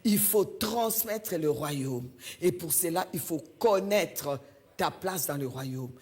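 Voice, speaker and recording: A woman from Belgium, preaching a sermon in a church and stressing her points by repeating key phrases. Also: on the low side.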